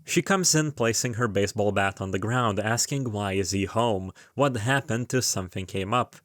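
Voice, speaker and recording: A man with a fairly low voice reads a scripted story recap. He slips into informal, casual commentary, and the recording is dry and close. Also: moderate pace, no room sound. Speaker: video creator narrating a game retrospective.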